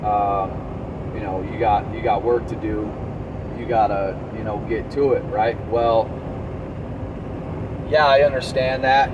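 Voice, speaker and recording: A man's voice: on the low side, steady tone, unhurried, pauses often, highs cut off. A man who drives trucks talks casually to his viewers in a rambling, conversational way, giving advice from experience. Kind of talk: casual vlog storytelling and advice. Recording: distant mic with loud vehicle background noise.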